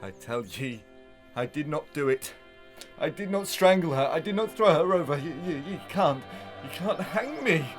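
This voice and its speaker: A man playing a cornered, frantic villainous squire in a Victorian melodrama, halting and repeating himself. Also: fairly high pitch, lively intonation, soft background music, natural voice. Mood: desperate defiance. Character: cornered, desperate villainous squire accused of murder. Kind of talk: Victorian melodrama audio acting.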